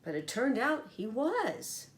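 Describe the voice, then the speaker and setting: A woman's voice: fairly high pitch, sing-song, slow. A woman reading a picture book aloud to children as a teacher, expressively and with little comments to her listeners. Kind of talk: animated picture-book storytelling.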